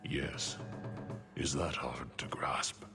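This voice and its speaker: An actor playing a solemn, devout giant captive mage in a fantasy video game, grave and halting in his speech. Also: very deep voice, steady tone, heavily acted. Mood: resigned. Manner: halting, grave, terse, deliberate. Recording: music playing behind the voice.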